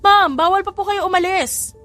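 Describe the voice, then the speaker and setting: A woman's voice: very high-pitched, moderate pace, pushed and bright, soft background music, pitched above the performer's own voice. A woman playing a polite, deferential hospital nurse in an audio drama. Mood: polite, concerned.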